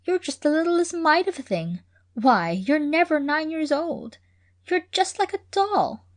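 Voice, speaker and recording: A woman's voice: high voice, lively intonation, measured pace, pitched above the performer's own voice. A woman doing a gushing, eager young girl. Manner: eager, effusive.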